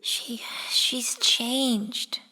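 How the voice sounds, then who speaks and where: A woman playing an exiled pony princess in a fan radio drama, distressed, gentle and pleading, speaking haltingly. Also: on the high side, steady tone, heavily acted. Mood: grieving. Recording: long reverberant hall.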